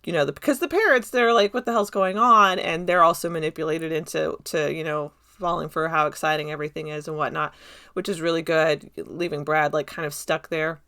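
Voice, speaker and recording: A woman reviewing a movie in an unscripted, conversational style. Her voice sits a little low but sounds bright and forward. She rambles along with enthusiasm. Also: fairly even intonation, a little fast. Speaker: video essayist reviewing a film. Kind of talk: casual unscripted commentary.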